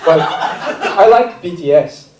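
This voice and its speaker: A man with a non-native English accent doing a stand-up set, self-deprecating and halting, laughing at his own jokes. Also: high voice, lively intonation, background noise. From Brazil. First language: not English. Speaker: stand-up comedian. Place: comedy theater stage. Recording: roomy live theater, mic at a distance.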